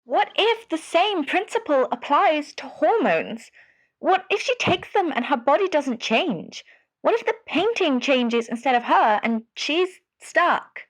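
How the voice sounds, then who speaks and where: A woman's voice: sits high, lively intonation, measured pace, low-quality recording. A woman playing an anxious, devoted caller to a radio advice show in an audio drama, hesitant and earnest. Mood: worried. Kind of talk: scripted audio drama phone-in.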